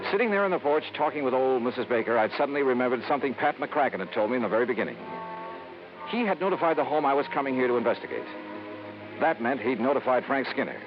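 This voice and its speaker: An actor playing a shrewd, hard-boiled insurance investigator in a wry, clipped old radio noir style. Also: male voice, lowish voice, natural voice. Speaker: lead actor and narrator. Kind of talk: 1950s radio noir acting. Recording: dull old radio recording.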